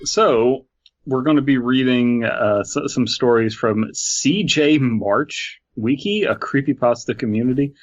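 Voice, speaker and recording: A man's voice: mid-range voice, muffled recording. A man co-hosting a casual comedy podcast, reading stories aloud with lots of fillers over a call. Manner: halting, many fillers, reads aloud deadpan. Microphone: remote call over Skype.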